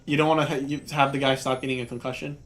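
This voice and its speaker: A man's voice: medium pitch, little pitch movement, fast talker, a bit bright, a little hiss or hum. Teasing young gamer guy. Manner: teasing, laughing, giving directions. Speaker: gamer co-commentating. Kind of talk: casual gaming banter.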